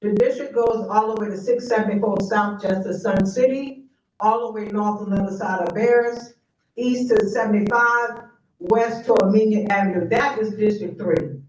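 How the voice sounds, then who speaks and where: A woman's voice: mid-range voice, moderate pace, darkish tone, a little hiss or hum. A middle-aged woman, a county commissioner, giving a confident re-election speech and bantering with the audience. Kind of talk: campaign speech at a forum. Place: community meeting room. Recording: echoey, distant and dull room recording.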